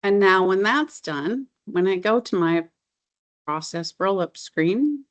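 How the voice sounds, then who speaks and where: A middle-aged woman presenting a software demo as a consultant, explaining features in an informal, enthusiastic, conversational way. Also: mid-range voice, expressive, takes it slowly.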